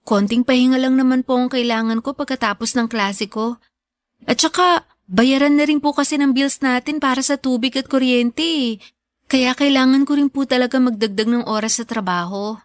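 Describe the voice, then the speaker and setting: A woman's voice: high-pitched, moderate pace, dry recording, studio quality, close to an everyday voice. A woman acting a devoted young student daughter in a Filipino radio drama, respectful and earnest. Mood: earnest, insistent. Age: young adult. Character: devoted, hardworking young woman student, reassuring her mother.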